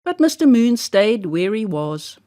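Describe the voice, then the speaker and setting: A woman narrating a children's story aloud in an expressive, deliberate storybook style. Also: medium pitch.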